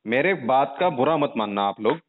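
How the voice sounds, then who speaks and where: A man's voice: medium pitch, animated, normal speed, over a noisy crowd. A man making an insistent, indignant complaint as a journalist over the phone, with repeated pointed questions. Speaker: journalist caller complaining to a call centre. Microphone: telephone. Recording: muffled narrow-band phone call recording.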